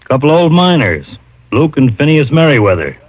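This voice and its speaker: A man's voice: a little high, big pitch swings, normal speed. A man playing a guarded, plain-spoken small-town sheriff in old-time radio drama. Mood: guarded, matter-of-fact. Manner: folksy, laconic. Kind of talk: 1940s-50s radio drama acting. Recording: muffled old radio recording.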